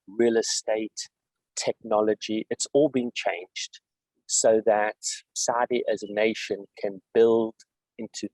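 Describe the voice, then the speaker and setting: A middle-aged man from South Africa with a South African accent, answering interview questions in a measured way, with frequent fillers and restarts. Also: fairly low pitch, darkish tone, dry recording, poor audio. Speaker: guest executive.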